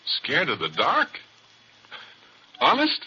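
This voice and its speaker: A man playing a folksy oil-rig roughneck in an old radio drama, telling an eerie tale in rambling, colloquial speech. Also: on the high side, wildly swooping pitch, takes it slowly, sharp tone, full character voice. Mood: easygoing. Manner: colloquial, rambling, explanatory storytelling. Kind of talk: 1940s radio drama acting. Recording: muffled, hissy old radio broadcast recording.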